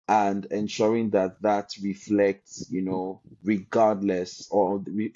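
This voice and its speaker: Low, dark-voiced man, measured and earnest. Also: highs cut off. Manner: measured and earnest, slow deliberate phrasing. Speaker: podcast guest, health policy researcher. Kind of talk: reflective conversational answers.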